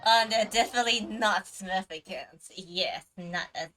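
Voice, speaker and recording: A woman's voice: mid-range voice, measured pace, fairly bright tone, rough recording. A woman chatting casually on a game livestream in a hesitant, rambling way, often trailing off. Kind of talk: casual game stream chat. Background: faint game music.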